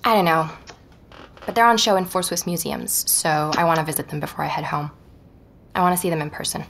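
Low-voiced, reflective woman podcaster. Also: fairly quick, creaky, a bit bright, slight background noise. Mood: keen. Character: inquisitive, persuasive woman podcaster researching a painter. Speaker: podcast narrator and lead character. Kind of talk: audio drama narration and natural dialogue.

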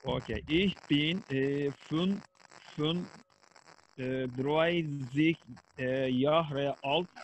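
A man's voice: fairly high pitch, very slow. A man with a Turkish accent, a language student hesitantly practising a dialogue word by word. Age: 40s. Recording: noisy online call with clicks.

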